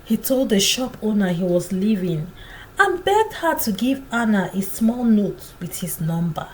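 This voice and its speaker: A woman narrating a scripted folktale in a dramatic, deliberate storytelling manner. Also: medium pitch, very animated, a little hiss or hum, poor audio.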